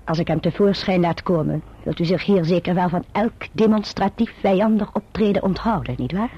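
Imperious, icily polite lady, radio play: bitter sarcasm, breathy-husky upper register, dull recording. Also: on the low side, brisk. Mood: sarcastic, commanding. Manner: sarcastic, commanding. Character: bitter, vindictive, imperious gentlewoman with icy politeness.

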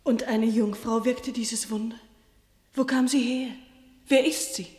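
Anxious young noblewoman for a radio play, pleading, voice slightly high, roomy studio sound. Woman plays her. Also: fairly even intonation, a little slow, darkish tone, close to an everyday voice. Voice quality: urgent, pleading. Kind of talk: classic radio play acting.

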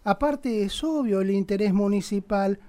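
A man's voice: sits high, normal speed, mellow, natural voice. An Argentine man playing a sanctimonious, pompous conservative politician in a satirical radio play, with an unctuous, preachy manner. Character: sanctimonious male conservative politician, pious and crudely provocative.

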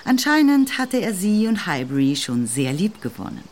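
A woman narrating a radio play in a measured, expressive way. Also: medium pitch. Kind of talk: scripted narration.

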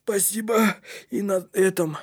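Man voicing a high-pitched, wheezy plucky boy. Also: steady tone, a little slow, slightly rough. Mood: exhausted. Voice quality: wheezy, strained. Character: weary injured boy climbing a chimney, hoarse but plucky.

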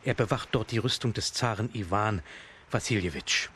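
An actor playing a sad, weary old ferryman in a children's radio play, speaking in a measured, grave way. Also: fairly low pitch, fairly bright tone, natural voice. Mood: grave warning. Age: older. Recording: clear background noise, ambience.

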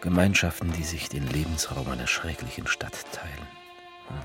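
An actor playing a political orator who delivers a bleak, defeatist speech in a theatrical radio play, declamatory and repetitive, with a husky voice. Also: male voice, a bass voice, music in the background. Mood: weary, hushed. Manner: declamatory, repeating phrases with rhetorical pauses.

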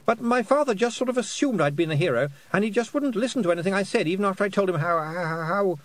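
A man's voice: sits high, fast talker, a bit bright, pitched above the performer's own voice. A man voicing a nervous, awkward boy who stammers and blurts in a storybook reading. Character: nervous, awkward, flustered well-off boy, apologetic.

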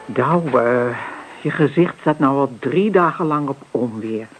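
A woman's voice: rumbling low, slow pace, faint music behind. An actress playing a middle-aged farmer's wife in a Dutch radio play, motherly, chiding and keeping the peace. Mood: exasperated, coaxing. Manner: chiding, placating. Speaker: actor. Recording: faintly noisy radio drama recording.

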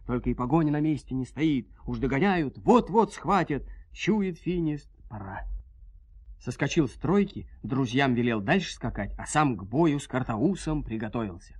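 A man telling a scripted fairy tale in a theatrical, expressive folk-tale manner, with a husky voice. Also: fairly high pitch, no room sound. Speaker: storyteller, narrator. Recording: dry studio audio play.

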